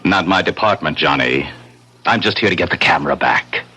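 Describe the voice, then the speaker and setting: An actor playing a smooth-talking, quietly menacing salesman with a glib, over-familiar manner in a 1970s radio drama. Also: deep voice, animated, brisk, heavily acted. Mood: veiled menace.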